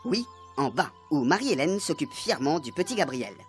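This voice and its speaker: A man's voice: fairly high pitch, very animated, fairly quick. Male radio soap narrator over music.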